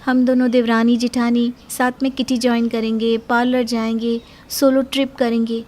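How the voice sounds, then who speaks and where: A woman acts out a young working daughter-in-law in an Indian cartoon moral story. Her voice is clearly high. She comes across as earnest, plaintive and overworked. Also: level tone, normal speed, darkish tone, close to an everyday voice. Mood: hurt, reproachful.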